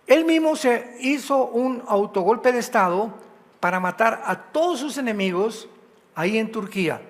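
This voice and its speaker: A Mexican man preaches with a high voice that rings through a large hall's long reverb. He is emphatic and a little tired, throwing rhetorical questions at his congregation as he teaches. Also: lively intonation, a little slow. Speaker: pastor. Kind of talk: sermon teaching. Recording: hall reverb.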